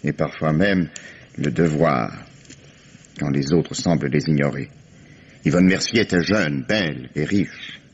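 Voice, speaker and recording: An actor playing a calm, ironic police commissioner interrogating a suspect in a 1950s radio drama, measured and dry. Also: male voice, a bass voice, slight background noise. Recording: muffled old radio broadcast.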